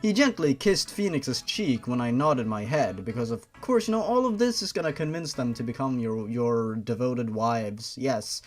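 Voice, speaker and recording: A man's voice: medium pitch, expressive, normal speed, few pauses, faint music behind. A man hosting a fan fiction reading, narrating expressively and adding sardonic, incredulous asides.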